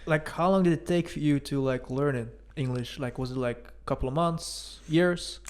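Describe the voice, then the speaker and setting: A man co-hosting an interview, asking informal questions in short, halting bursts padded with "like". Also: fairly high pitch, a little mellow. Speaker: podcast co-host interviewing. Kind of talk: casual chat, questioning.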